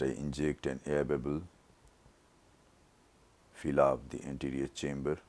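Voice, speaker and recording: A man with an extremely low voice, still worn from a throat infection, narrates surgery. He talks very slowly, laying out each step plainly for learners. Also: gravelly, darkish tone. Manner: slow, measured, step-by-step explanation. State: recovering from a throat infection, voice not normal. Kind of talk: slow surgical teaching narration.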